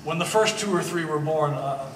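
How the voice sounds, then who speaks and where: A man's voice: on the high side, normal speed, lightly acted. A man playing an easygoing old family friend in a stage play, with a wry, hesitant, joking manner. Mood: sheepish. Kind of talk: one-act stage play performance. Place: gallery stage performance, indoors. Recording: echoey hall, distant mic, faint noise.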